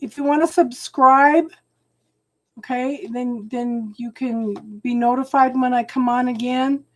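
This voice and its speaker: A woman doing a live tarot reading at home, talking slowly and soothingly, often checking in with "okay?", and clearing her throat as she loses her voice. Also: high voice, steady tone, low-quality recording. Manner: slow, soothing, keeps adding "okay?". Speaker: tarot reader.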